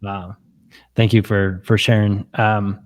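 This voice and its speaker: A man hosting an interview, asking questions gently and hesitantly, often repeating words. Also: fairly low pitch, flat delivery, slow pace. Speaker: interview host. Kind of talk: interview conversation.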